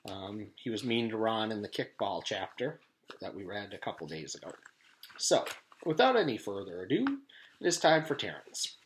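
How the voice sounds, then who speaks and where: A man reading a children's chapter book aloud as a teacher does for students, in an animated storytelling style. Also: on the low side, normal speed, a little hiss or hum, low-quality recording.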